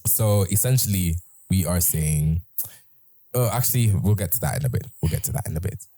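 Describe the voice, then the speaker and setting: A young man with a British accent and a very low, mellow voice tells a story from his life in an easygoing, rambling way. He restarts his sentences often, and the sound is close and dry. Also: expressive, a little fast, no room sound. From Britain. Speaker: host. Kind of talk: casual storytelling chat.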